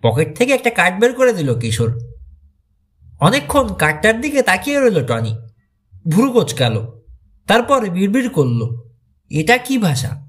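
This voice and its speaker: A man narrates a mystery storybook. His voice sits a little high and moves through lively, wide swings of pitch, giving the narration a dramatised feel. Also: normal speed, long pauses. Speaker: audiobook narrator.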